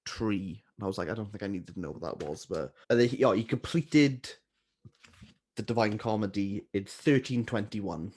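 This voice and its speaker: A man co-hosting a casual book chat, self-deprecating and quick to laugh. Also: on the low side, darkish tone, husky. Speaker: booktuber co-host.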